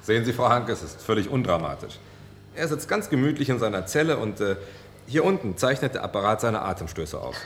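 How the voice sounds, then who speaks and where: Low-voiced, reassuring doctor. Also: male voice, sing-song, fairly quick. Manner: matter-of-fact, soothing, explanatory. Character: calm, reassuring male research doctor. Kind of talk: German radio play acting. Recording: faint background noise.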